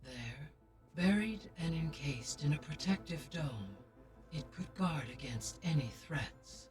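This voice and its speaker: A woman voicing a calm, formal female AI guardian in a video game, speaking in a measured, precise way. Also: sits low. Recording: distant game audio with music.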